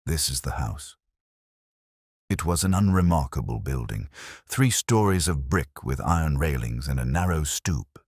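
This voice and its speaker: A man's voice: rumbling low, animated, moderate pace, a little mellow, breathy edge, no room sound, clean studio sound. A man reading scripted first-person detective fiction as an audiobook narrator.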